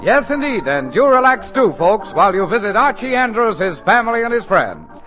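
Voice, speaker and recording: A man's voice: sits high, very animated, moderate pace, music in the background. A man announcing a 1940s radio show in an emphatic, projected manner. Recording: dull, noisy 1940s radio broadcast.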